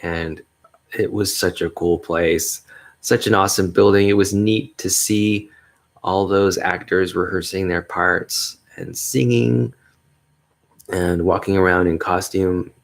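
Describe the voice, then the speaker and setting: A man hosting a solo podcast, talking in a mellow bass voice, upbeat and rambling, with frequent chuckles. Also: steady tone, takes it slowly. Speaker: podcast host. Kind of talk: casual solo podcast monologue.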